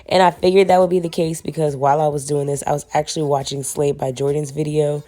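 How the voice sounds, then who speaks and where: Low-voiced young woman, a bit hoarse from being sick, chatting slangy with her viewers. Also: little pitch movement, fast, dark tone. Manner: casual and chatty, slangy, addresses viewers as y'all. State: hoarse from a cold. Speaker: beauty vlogger. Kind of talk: casual wig tutorial chat.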